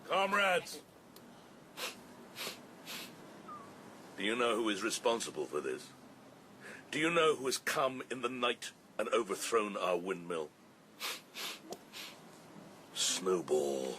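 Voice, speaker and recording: Ruthless, rousing boar dictator: deep-set, very animated, declamatory radio-drama delivery over clear noise. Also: male voice, unhurried, long pauses, heavily acted. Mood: accusing, outraged. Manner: commanding, declamatory orator.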